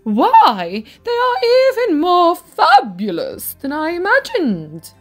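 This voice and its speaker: Woman as a bellowing flamboyant rich gentleman, very animated. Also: slow, soft background music, heavily acted. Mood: effusive, bellowing. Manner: booming, theatrical. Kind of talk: children's storybook character voice.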